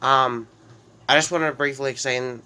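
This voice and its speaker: A man's voice: medium pitch, fairly even intonation, normal speed, bright, forceful tone, smooth. A man with a head cold and a slightly nasal voice, casually narrating his life-simulation game story in a rambling way with frequent restarts. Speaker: gameplay narrator. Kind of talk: casual let's-play storytelling.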